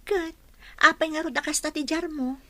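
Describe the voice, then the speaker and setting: A woman's voice: sits high, fairly even intonation, normal speed, pushed and bright. A woman with an Ilocano accent playing a teasing, blunt young best friend in an audio drama. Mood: probing. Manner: playful, blunt.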